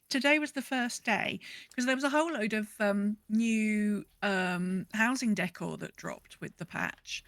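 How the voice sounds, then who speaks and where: A woman's voice: medium pitch, a bit bright, no echo. A woman hosting a casual gaming podcast, talking in a rambling way and often interrupting herself. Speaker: podcast host.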